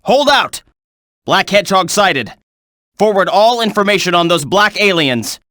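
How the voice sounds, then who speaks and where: A video game soldier in the thick of battle. The delivery is clipped and urgent, with a sharp, forceful edge and animated swings in pitch. It sounds close and dry. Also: male voice, unhurried, no echo, exaggerated voice. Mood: frantic, shouting, then brisk, businesslike. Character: tense military soldier barking combat reports and orders.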